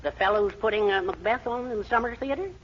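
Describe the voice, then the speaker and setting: High-pitched, fussy, blustering American husband. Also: male voice, fast. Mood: eager scheming. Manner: excitable, blustering, hesitant stammers. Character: fussy, henpecked middle-aged husband, eager bumbling schemer. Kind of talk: 1940s radio comedy acting. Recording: dull, noisy 1940s radio recording.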